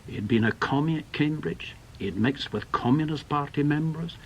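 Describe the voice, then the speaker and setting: A man's voice: on the high side, normal speed, dull audio, slight background noise, natural voice. An actor playing a bitter, blunt veteran British intelligence man in a radio drama, with an emphatic, scornful manner.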